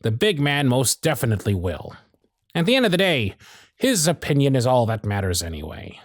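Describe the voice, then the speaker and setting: A man's voice: mid-range voice, very animated, fairly quick, dry recording, clean studio sound. A man playing a proud, sardonic but protective supervisor in a scripted ASMR roleplay, with theatrical, exasperated phrasing. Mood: grumbling, defiant. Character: proud, sardonic male workshop supervisor, protective of his intern. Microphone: close studio mic, dry.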